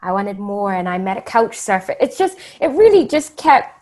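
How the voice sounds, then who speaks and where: A woman from the Bahamas, speaking as an interview guest and telling stories in a casual, animated way. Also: medium pitch, normal speed.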